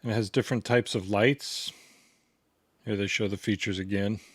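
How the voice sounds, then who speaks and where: A man's voice: fairly low pitch, fairly even intonation, moderate pace, husky, dry recording, clean studio sound. A man giving a casual hands-on product tutorial in a plain, step-by-step instructional way. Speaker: product reviewer demonstrating a gadget.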